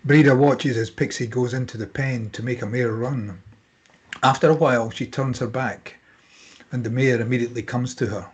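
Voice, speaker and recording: A man's voice: mid-range voice, steady tone, moderate pace, slightly rough. A man reading out screenplay stage directions as scripted narration at a table read.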